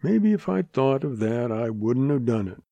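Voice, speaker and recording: A man's voice: medium pitch, sing-song, normal speed, gravelly, warm tone, no echo, highs cut off. A man voicing a laconic, modest and stubborn young cowboy in an audiobook western. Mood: modest, resigned.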